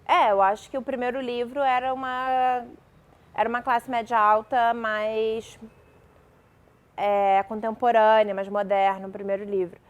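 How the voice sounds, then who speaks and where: A woman's voice: a little high, flat delivery, a little slow, clean tone, lo-fi sound. A young woman from Brazil, a novelist guest in an interview, talking casually with many fillers and restarts.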